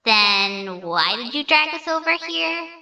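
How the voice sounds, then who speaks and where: Mocking child villain played by a woman: sharp, swooping high voice, sneering delivery, slightly distant mic. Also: animated, a little slow, heavily acted. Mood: irritated. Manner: sneering, theatrical. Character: bitter, mocking, hot-tempered fallen child with dark power. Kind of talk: comic dub character acting.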